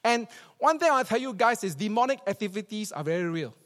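A man's voice: sits high, animated, a little fast, a bit bright. A man with a Singaporean accent preaching a church sermon as a pastor, emphatic and repeating phrases for effect. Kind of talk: church sermon with scripture reading and storytelling. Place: large church hall, preaching to a congregation. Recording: reverberant hall.

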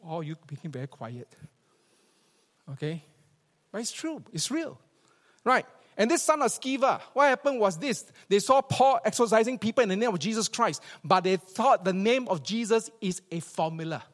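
Singaporean guy preaching in church, pretty high voice, emphatic, big echoey hall. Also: animated, normal speed. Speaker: pastor, preacher. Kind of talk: church sermon with scripture reading and storytelling. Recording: reverberant hall.

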